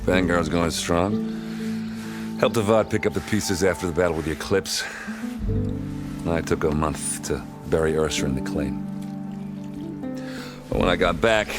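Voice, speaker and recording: Man playing a very deep, gruff warrior captain. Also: moderate pace, pauses often, over loud music, close to an everyday voice. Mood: rueful. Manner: laid-back, wry, hesitant starts. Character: gruff, world-weary warrior captain with wry humour. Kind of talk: video game character acting.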